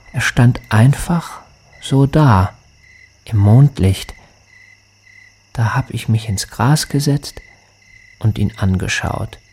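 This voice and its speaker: Slow, low-voiced grieving man. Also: breathy edge, heavily acted. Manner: slow, hushed, wistful monologue. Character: lonely grieving man speaking tenderly to his lost beloved. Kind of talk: poetic radio drama monologue.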